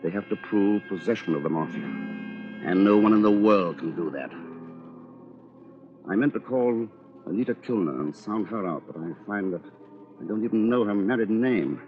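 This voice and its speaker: An actor playing a cold, conniving attorney with a formal, pompous, calculating manner in an old-time radio drama. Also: male voice, on the low side, animated, moderate pace, long pauses, natural voice. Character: cold, conniving male attorney, cleverly manipulative. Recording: muffled old radio recording, music behind.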